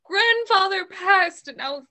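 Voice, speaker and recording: A woman's voice: very high voice, lively intonation, slow, sharp tone, heavily acted. A young woman playing a hurt, tearful, dazed mother in a play reading over a video call. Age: young adult. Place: bedroom, indoors.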